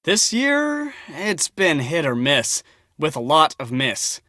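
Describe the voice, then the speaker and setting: A young male storm chaser and photographer in a game. He is enthusiastic and casual, with a slightly high, forceful bright voice. The recording is very dry, with no room sound. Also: wildly swooping pitch, slow pace, dry recording, exaggerated voice. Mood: confiding. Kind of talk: casual scripted game dialogue.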